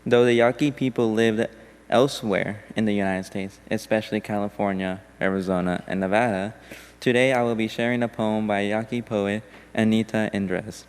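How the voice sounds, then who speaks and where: A man reading a poem aloud during a church service in a steady, deliberate way. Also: fairly low pitch, clean tone. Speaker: congregation member reading a poem. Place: church sanctuary. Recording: long hall reverb.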